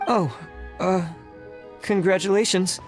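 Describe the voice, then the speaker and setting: A man's voice: sits high, steady tone, slow pace. Game role: shy, agreeable male candidate. Hesitant, meek delivery over light music. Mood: awkward, meek. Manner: hesitant, timid. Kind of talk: video game voice acting.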